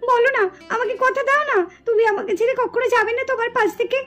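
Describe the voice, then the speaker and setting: A woman's voice: very high voice, fairly even intonation, quick pace, fairly bright tone, faint music behind. A woman voicing a young doll sweetheart in a puppet drama, pleading, coy and teasing. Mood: anxious, pleading.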